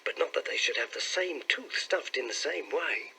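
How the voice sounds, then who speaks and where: A man voicing an excitable, cheery young male clerk from London, eagerly telling his story in an audiobook. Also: fairly high pitch, normal speed, vocal fry, a little hiss or hum, full character voice.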